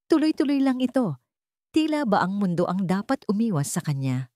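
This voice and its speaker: A woman's voice: mid-range voice, big pitch swings, moderate pace, mellow, no echo, studio quality. A woman narrating a scripted dramatic story as a storyteller.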